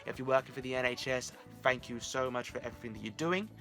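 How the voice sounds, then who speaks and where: A man's voice: mid-range voice, fast, a bit bright, soft background music. A man talking casually to his viewers as the host of a vlog.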